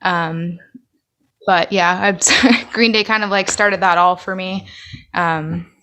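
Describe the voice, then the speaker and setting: Female podcast guest, American, slightly low voice, relaxed conversational interview answers. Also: takes it slowly. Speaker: podcast guest, band musician.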